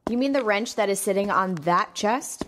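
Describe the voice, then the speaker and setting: A woman's voice: mid-range voice, moderate pace, slight background noise, close to an everyday voice. Woman acting an exasperated, sensible fantasy queen. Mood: exasperated, scornful. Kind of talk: comedic fantasy audio drama.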